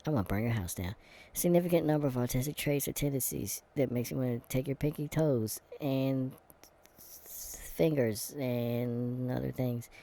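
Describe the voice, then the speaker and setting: A man reading an online quiz aloud in a casual vlog, answering it with sarcastic, deadpan asides and dark comic rants. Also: medium pitch, gravelly. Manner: reads quiz text aloud, stumbling and muttering. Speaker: vlogger. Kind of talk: casual commentary while taking an online quiz.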